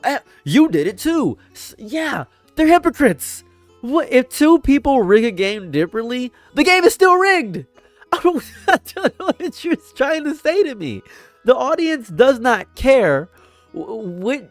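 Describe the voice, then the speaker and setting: A man's voice: fairly high pitch, dry recording. An American man of around thirty giving casual, impassioned political commentary in an emphatic, conversational way, often repeating himself and checking in with the listener. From United States (Detroit and Toledo). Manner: emphatic, conversational, repeats phrases and tags them with "right?".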